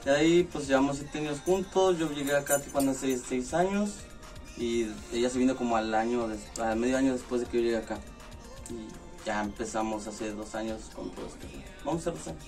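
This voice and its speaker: A man's voice: medium pitch, a little fast, darkish tone. A man in his twenties from Puebla with a central Mexican accent, chatting casually and a bit hesitantly as a guest. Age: 20s. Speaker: interview guest. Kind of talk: casual chat. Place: small indoor lounge room in a club. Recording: distant mic, clear music behind.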